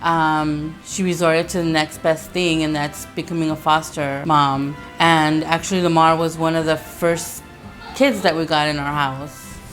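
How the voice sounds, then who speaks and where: A woman's voice: low-pitched, fairly even intonation, measured pace, poor audio. A woman speaking plainly and reflectively about her family in a documentary interview. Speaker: interviewee, sister. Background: soft music.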